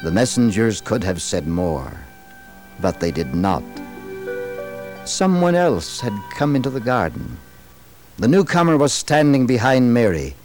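An American man narrating a dramatized religious story in a solemn, theatrical, measured old-radio style. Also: medium pitch, sing-song. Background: music.

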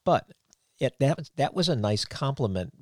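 A man's voice: mid-range voice, big pitch swings, normal speed, dry recording, clean studio sound. A man hosting an interview, talking at length in long run-on thoughts and complimenting his guest. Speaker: podcast host, former sound engineer. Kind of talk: casual interview chat.

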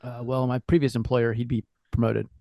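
A man's voice: medium pitch, flat delivery, brisk, vocal fry, warm tone, dry recording, studio quality. A man on a podcast panel chatting casually, with hesitant, stammered starts. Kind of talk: casual chat.

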